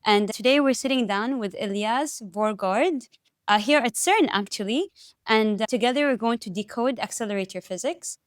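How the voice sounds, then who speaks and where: A woman's voice: a little high, normal speed, dry recording, clean studio sound. A woman hosting a science podcast, eager and upbeat, calling herself a nerd and asking a guest questions. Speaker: podcast host. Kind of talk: enthusiastic scripted intro, then interview questions.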